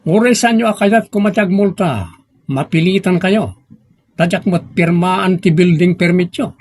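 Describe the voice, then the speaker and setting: An actor playing an arrogant, stern municipal engineer in a radio drama, curt and domineering. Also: male voice, high-pitched, unhurried, darkish tone. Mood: domineering, angry. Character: arrogant, stern male municipal engineer abusing his authority.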